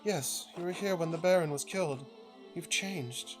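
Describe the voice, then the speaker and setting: Devout cloistered nun played by a man, troubled visionary, contemplative and halting, music behind; for a historical adventure game. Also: fairly high pitch, pitched above the performer's own voice, lightly acted. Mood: agitated, visionary. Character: devout, troubled woman mystic nun in a cell.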